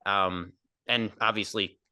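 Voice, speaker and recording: A man's voice: lowish voice, slow pace, clear, resonant tone, no room sound, clean studio sound. A nasal-voiced man in his twenties with a New Jersey accent, a comedian guest rambling loosely in a casual podcast interview. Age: 20s. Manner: rambling, filler-heavy, relaxed.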